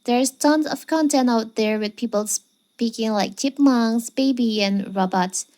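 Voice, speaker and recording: A woman's voice: fairly high pitch, a little slow, warm tone. A woman hosting a how-to video, narrating step by step in a scripted tutorial style. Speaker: tutorial channel host.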